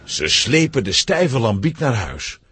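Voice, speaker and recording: A man's voice: mid-range voice, big pitch swings, unhurried, fairly bright tone, slightly rough, no room sound. A man narrating a scripted children's story.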